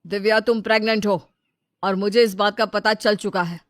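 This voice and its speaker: A woman's voice: fairly even intonation, normal speed, no room sound, studio quality, natural voice. A woman voicing a young adult elder brother in a storybook drama, speaking firmly and earnestly. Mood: stern. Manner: firm, earnest, mostly low-key. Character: young adult male elder brother, protective and level-headed. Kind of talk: dramatized Hindi storybook dialogue.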